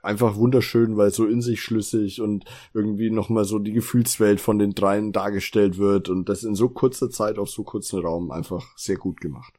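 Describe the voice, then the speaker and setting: A man with a fairly low voice co-hosts a podcast. He talks casually and conversationally, sounding keen and appreciative as he gives his opinions. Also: fairly even intonation, moderate pace, hardly any pauses, warm tone. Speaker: podcast co-host. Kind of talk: casual podcast review chat about audio drama.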